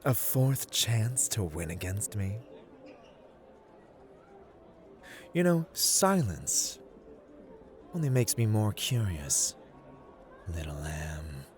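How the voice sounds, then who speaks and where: Guy voicing a smug god of luck dealing cards, asmr roleplay, slow and teasing, hushed bits, music behind. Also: lowish voice, very animated. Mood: smug, curious. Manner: theatrical, teasing, deliberate, dropping into hushed murmurs. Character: playful, taunting male god of luck, smooth-talking card dealer.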